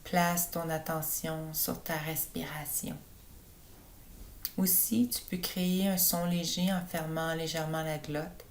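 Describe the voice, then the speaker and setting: A woman with a low voice leads a guided relaxation for sleep. She gives her instructions slowly and soothingly, like a calm yoga teacher. A faint low rumble sits behind her. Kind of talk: guided meditation narration for sleep.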